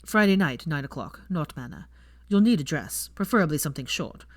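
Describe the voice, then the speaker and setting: Woman as young male wizard, low-pitched, drawling, dry wit, mocking, teasing, storybook reading. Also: expressive, a little fast, no echo.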